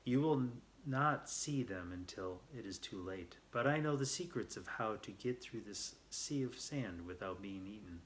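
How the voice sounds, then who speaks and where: A man's voice: lowish voice, animated, moderate pace, few pauses, close to an everyday voice, rough recording. A man reading as a confident desert guide. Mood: warning. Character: Solus, confident, knowing male desert adventure guide. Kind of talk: children's storybook read aloud.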